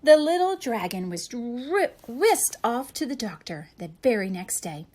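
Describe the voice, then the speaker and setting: Female children's read-aloud narrator: higher voice, very expressive, unhurried, dramatic emphasis. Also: a little hiss or hum. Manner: deliberate, animated, dramatic emphasis. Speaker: storyteller reading a children's picture book aloud.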